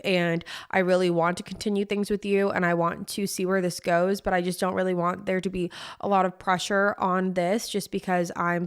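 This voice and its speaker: A woman's voice: on the low side, clear, resonant tone. Chatty, effusive young American woman podcaster. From Connecticut, US East Coast. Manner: effusive, informal, emphatic repetition. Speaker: podcast host. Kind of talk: casual chatty solo podcast.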